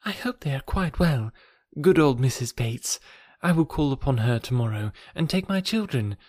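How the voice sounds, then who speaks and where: A man's voice: mid-range voice, lively intonation, moderate pace, dry recording, clean studio sound, close to an everyday voice. A man voicing an affectionate young mother who speaks eagerly and politely, in an audiobook. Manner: eager, polite, softly raised. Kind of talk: audiobook character reading.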